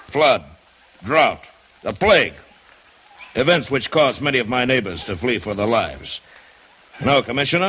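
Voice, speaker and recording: An actor playing a big, aging, stubborn planter in an old radio drama, deliberate, pompous and smugly sure of himself. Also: male voice, mid-range voice, a bit bright, slight background noise. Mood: defiant, unruffled. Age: middle-aged. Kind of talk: 1940s-50s radio drama acting and narration. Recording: dull vintage radio recording. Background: dramatic music.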